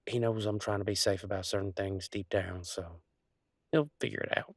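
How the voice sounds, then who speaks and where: Man playing werewolf boyfriend, fairly deep voice, folksy, playful, affectionate, rambling, intimate roleplay audio, dry studio sound. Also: steady tone, normal speed, no room sound. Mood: reflective, reassuring. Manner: folksy, rambling, playful drawl with colloquial turns. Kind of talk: intimate one-sided roleplay audio, conversational acting.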